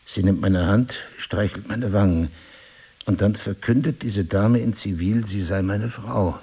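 Amnesiac husband, low voice, very husky, sardonic, musing, radio drama. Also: male voice, measured pace, dark tone. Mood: bewildered. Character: wry amnesiac husband, a crime novelist, disoriented. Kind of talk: German radio play acting.

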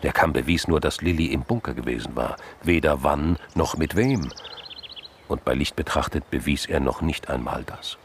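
A male narrator with a low voice reads literary crime fiction for a radio play. His phrasing is measured and dramatic, broken by deliberate pauses, with faint noise behind him.

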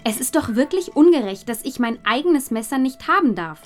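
Aggrieved, high-voiced teenage girl. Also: animated, brisk, faint music behind. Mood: indignant. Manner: complaining, indignant. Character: indignant, aggrieved teenage girl, younger sister. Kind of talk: literary radio drama acting.

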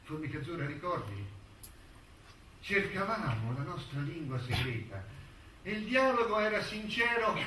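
A man acting an anguished poet, reciting dramatic verse in a slow, declamatory, impassioned way. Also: sits high, sharp tone, exaggerated voice. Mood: wistful. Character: anguished male poet reciting a farewell to a beloved. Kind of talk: theatrical dramatic poetry recitation. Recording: distant echoey stage with faint noise.

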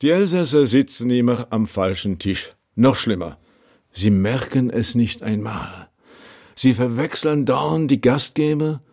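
A man's voice: medium pitch, lively intonation, a little slow, a little mellow. A man playing an eloquent, wry Alsatian theologian in a radio play, speaking in an emphatic, rhetorical way. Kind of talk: radio drama acting.

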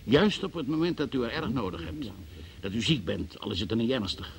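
A relentless male interrogator in a radio drama, with a creaky voice of middling pitch. He is deliberate and coolly confrontational, pressing his accusation insistently. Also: sing-song. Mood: cool, probing. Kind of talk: Dutch radio play acting.

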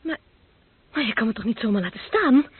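High-pitched, lively young woman. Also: brisk, breathy edge. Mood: hurt. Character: curious young woman in a radio play. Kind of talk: radio play dialogue. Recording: thin, phone-like old radio recording.

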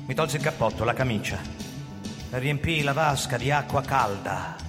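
A man's voice: medium pitch, normal speed, lightly acted. Radio drama lead: male actor as bitter, tense man on the run, theatrical first-person narration, long reverb, music underneath. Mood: urgent, narrating. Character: tense male fugitive recounting his flight, bitter and brooding. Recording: reverberant hall, music over the voice. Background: prominent musical instruments.

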